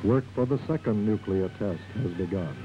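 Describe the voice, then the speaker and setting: A man's voice: fairly low pitch, moderate pace, music in the background. A man reading a scripted propaganda documentary narration in a formal, declarative manner. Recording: muffled, noisy old film soundtrack.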